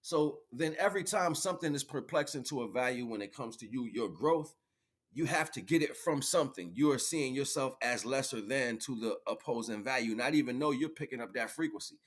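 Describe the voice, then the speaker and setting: A man's voice: medium pitch, animated, fairly quick. A man giving an improvised spiritual, motivational livestream talk in an emphatic, preachy way, repeating phrases for effect.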